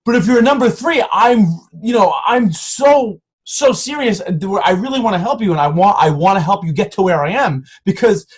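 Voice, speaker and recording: A man's voice: high-pitched, lively intonation, moderate pace, hardly any pauses, breathy edge. A man in his twenties giving an earnest, pushy motivational talk to his coaching team from home, often restarting his sentences. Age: 20s. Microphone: camera.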